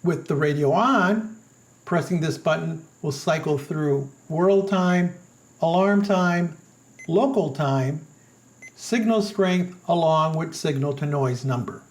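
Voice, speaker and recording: A man narrates a scripted product review. His voice sits high for a male speaker and has a clearly creaky, gravelly edge. He delivers it as a reviewer walking the listener through a product. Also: slow pace.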